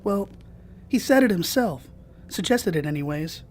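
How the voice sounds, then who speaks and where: A man's voice: high-pitched, lively intonation, measured pace. An actor playing an earnest young male analyst in an audio drama, polite and hesitant. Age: young adult. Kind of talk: naturalistic dramatic acting.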